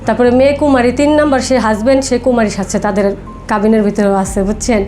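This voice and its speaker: Female podcast guest, Bangladeshi accent, fairly high mellow voice, quick conversational storytelling. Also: fairly even intonation, faint music behind. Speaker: podcast guest telling her life story. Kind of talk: personal storytelling in casual talk.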